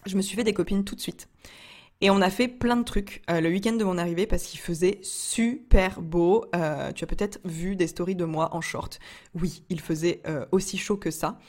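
Young woman from France, low-pitched, casual vlog storyteller, talks with many fillers. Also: moderate pace, darkish tone. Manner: chatty, frequent fillers and restarts, laughs often. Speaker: entrepreneur vlogger.

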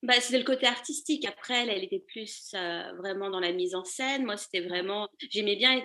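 A woman's voice: medium pitch, fairly quick, sharp tone. A woman, answering casually as an interview guest in a loose, meandering way. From Paris, France. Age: 30s. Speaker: podcast guest, fashion accessories designer.

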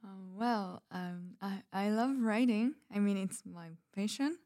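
A woman's voice: mid-range voice, unhurried, mellow, lightly acted. A woman playing a shy, disillusioned writer in a fiction podcast, speaking hesitantly. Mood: wistful, hesitant. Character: shy, disillusioned writer who loves poetry. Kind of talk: fiction podcast roleplay as interview.

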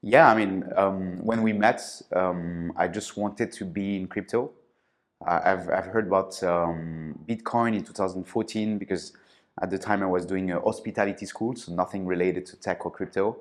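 A man's voice: sits low, fairly even intonation, moderate pace. A man from France, telling his founder story in a casual interview, hesitant, with many fillers and restarts. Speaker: podcast guest, startup founder.

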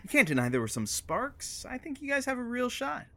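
A man's voice: extremely high pitch, wildly swooping pitch, brisk, bright, forceful tone, heavily acted. A man playing a teasing, matchmaking radio producer and sidekick in an audio drama. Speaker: co-host. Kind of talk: semi-improvised audio drama.

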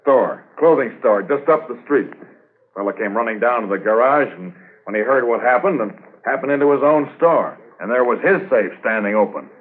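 An eager, folksy constable played by a man in a 1950s radio drama. His voice sits at an average male pitch. The sound is narrow and dulled, like an old broadcast disc. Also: lively intonation, moderate pace, natural voice. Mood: eager, informative. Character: eager, folksy junior constable bringing reports. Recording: muffled 1950s radio transcription recording.

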